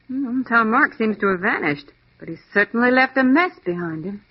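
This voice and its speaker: Plucky female assistant, high voice with husky edge, perky, insistent, old radio drama, muffled lo-fi sound. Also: expressive, moderate pace. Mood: wry, curious. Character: plucky female detective's assistant, eager and stubborn. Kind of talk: 1940s radio drama acting.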